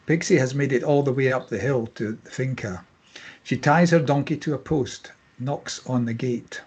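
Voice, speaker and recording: A man narrating scene headings and stage directions at a screenplay table read in a husky voice. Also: medium pitch, darkish tone.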